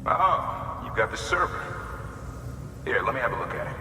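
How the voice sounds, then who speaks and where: A man's voice: medium pitch, very animated, normal speed, close to an everyday voice. A man acting as a grateful, eager distribution center worker in a video game, effusive and welcoming. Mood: pleased, grateful. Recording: distant, echoey hall with background noise.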